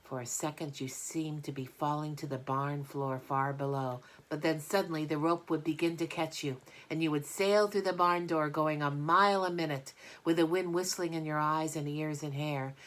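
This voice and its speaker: A woman's voice: deep voice, fairly even intonation, moderate pace, hardly any pauses. A woman narrating a children's storybook aloud as a reader. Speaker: audiobook reader.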